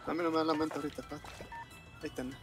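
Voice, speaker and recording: A man's voice: on the high side, level tone, very fast. A young man with a Salvadoran accent bantering casually as he plays a video game. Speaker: gamer. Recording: game music behind voice. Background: video game music.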